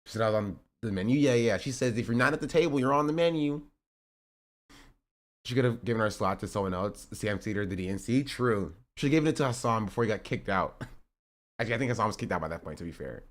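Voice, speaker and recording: A man's voice: on the low side, lively intonation, quick pace. A man in his twenties streaming, rambling through casual political commentary with sarcastic asides and self-corrections. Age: 20s.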